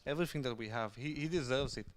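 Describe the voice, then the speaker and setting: A man's voice: mid-range voice, fast. A man talking emphatically on a casual livestream, repeating phrases for stress.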